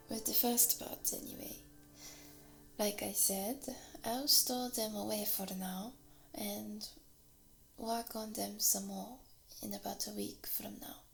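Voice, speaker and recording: A woman with a Japanese accent playing a warm, gently teasing workshop teacher in an ASMR roleplay, soft-spoken and often slipping into breathy whispers, close to the microphone. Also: medium pitch. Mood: gentle, encouraging. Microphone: close binaural microphone.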